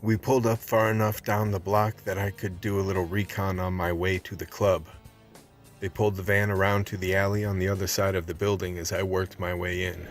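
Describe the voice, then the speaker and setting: An actor playing a world-weary undercover vice cop, telling his story in a measured, hard-boiled way for a radio play. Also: low-pitched, flat delivery, loud music behind the voice. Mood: grim, measured. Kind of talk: scripted radio play narration.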